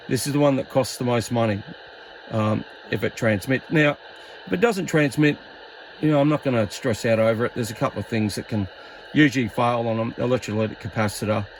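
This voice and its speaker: Casual man talking over steady background noise. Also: mid-range voice, brisk, low-quality recording. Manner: rambling, informal, thinking aloud. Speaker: radio hobbyist demonstrating gear. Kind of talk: casual hands-on video demonstration.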